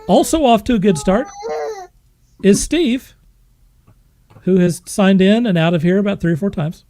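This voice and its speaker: A man's voice: high-pitched, normal speed, warm tone, no echo, clean studio sound. A man hosting a podcast in casual, chatty banter. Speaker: podcast host.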